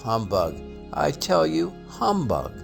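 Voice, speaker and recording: A man's voice: a little high, wildly swooping pitch, takes it slowly, gravelly, faint music behind, heavily acted. A man voicing a selfish old male miser in a storybook reading, grumpy, curt and scornful. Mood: rattled, defiant.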